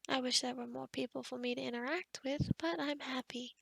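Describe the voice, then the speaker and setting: A woman playing a kind, sheltered young forest witch in a soft-spoken, half-whispered ASMR roleplay, gentle and hesitant with small stammers. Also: high-pitched, fairly even intonation, brisk, dry recording, studio quality, full character voice. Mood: warm, content. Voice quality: breathy, whispery.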